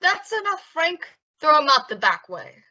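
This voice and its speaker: Woman playing a bright, high-voiced smug gangster boss. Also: sing-song, moderate pace, full character voice. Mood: quietly menacing. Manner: mock-polite, taunting. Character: smug, menacing male nightclub gangster boss. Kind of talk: old-time radio drama acting. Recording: dull lo-fi audio.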